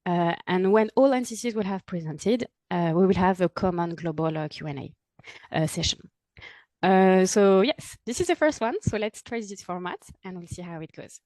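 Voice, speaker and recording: A woman moderating an online workshop, speaking haltingly with many fillers and self-corrections over a video call. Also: on the low side, a little mellow, no room sound. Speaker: webinar moderator. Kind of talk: introducing a workshop session.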